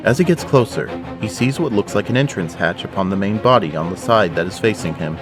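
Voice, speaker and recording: A man narrates an audio drama in a deep voice. His delivery is scripted and dramatic, set over prominent background music. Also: lively intonation, brisk.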